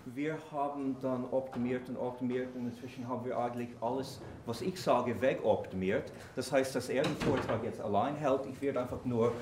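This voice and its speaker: A man with a medium-pitched voice makes an informal conference introduction as co-presenter. His manner is joking and tongue-in-cheek. He sounds away from the microphone, with plenty of room echo and clear noise. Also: steady tone, moderate pace, few pauses. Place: conference room, before an audience. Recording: echoey room, distant mic, clear noise.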